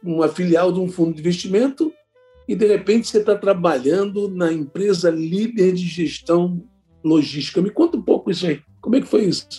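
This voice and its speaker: A Brazilian-accented man hosting an interview, effusive and eager. His voice is high for a man but soft and mellow in tone. Faint music runs behind him. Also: fairly quick. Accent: Brazilian Portuguese. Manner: enthusiastic, effusive praise. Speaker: podcast host. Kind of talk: conversational interview.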